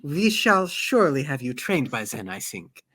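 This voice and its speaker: A man's voice: on the high side, big pitch swings, moderate pace, bright, forceful tone, exaggerated voice. A man playing a courteous, formal steward who tutors his mistress, in a fantasy novel read aloud. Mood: approving, courteous. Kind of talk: acted reading of a fantasy novel.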